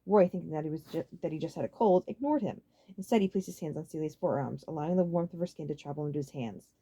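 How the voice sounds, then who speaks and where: A woman reading a story aloud as an audiobook narrator, in an expressive, dramatic style. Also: deep voice, quick pace, breathy edge.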